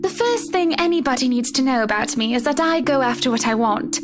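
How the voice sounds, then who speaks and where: High-voiced, poised lady. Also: lively intonation, fairly quick, over music. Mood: confident, coy. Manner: poised, formal, articulate. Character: refined, self-assured young married upper-class lady. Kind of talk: visual novel game voice acting.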